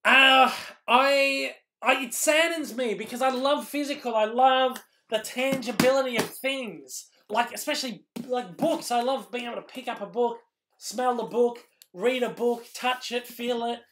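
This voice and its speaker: High-voiced Australian man, going hoarse. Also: unhurried, sharp tone, a little hiss or hum. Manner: rambling, lots of ums and restarts. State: losing his voice. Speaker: YouTube host answering live chat. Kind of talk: casual live-stream Q&A.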